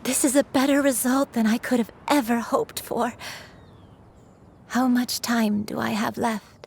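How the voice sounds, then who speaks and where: A loving old grandmother, slow and soothing. Her slightly raised voice has a gravelly crackle, and she drawls each word warmly to a child. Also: on the high side, steady tone. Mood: grateful. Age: older. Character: gentle elderly grandmother, a crane in human form. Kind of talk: game cutscene voice acting.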